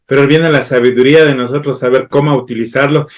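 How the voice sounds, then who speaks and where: A courteous man hosts a church panel. His voice sits at an ordinary middle pitch, and he pauses with fillers and restarts as he frames his questions. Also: steady tone. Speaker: host. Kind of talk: moderating a church panel discussion.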